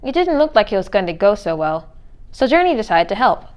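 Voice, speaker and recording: A woman's voice: mid-range voice, big pitch swings, fairly quick, lo-fi sound. A woman reading a children's storybook aloud as narrator. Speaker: read-aloud reader.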